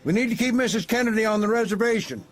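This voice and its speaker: An actor playing a commanding, calculating president in an audio drama, giving clipped orders and demanding confirmation. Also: male voice, sits high, quick pace, lightly acted. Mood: commanding, insistent. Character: commanding, calculating male president taking charge.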